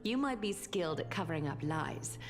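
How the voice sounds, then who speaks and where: A woman playing a composed, regal female leader in a video game, speaking in a measured, knowing, formal way. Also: low voice, animated. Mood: knowing, amused. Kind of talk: game voice acting. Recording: long reverb, faint music behind.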